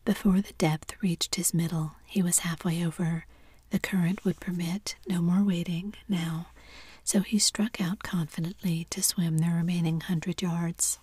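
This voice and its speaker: A woman narrating a classic story in a steady, hushed whisper. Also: fairly low pitch, a little slow, slightly rough, no echo.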